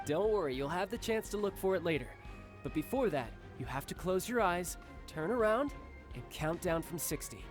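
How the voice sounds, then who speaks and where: A man's voice: high voice, lively intonation, normal speed. A man playing a doting, teasing young warrior and big brother in a video game, speaking affectionately. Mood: playful. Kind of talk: video game character acting. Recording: game music behind the voice.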